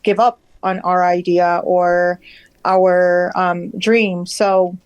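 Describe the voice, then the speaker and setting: Woman in her 30s from Peru, slow and even, halting, dry close sound. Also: fairly low pitch, smooth, dry recording, muffled recording. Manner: slow, halting, searching for words. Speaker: audience member asking a question.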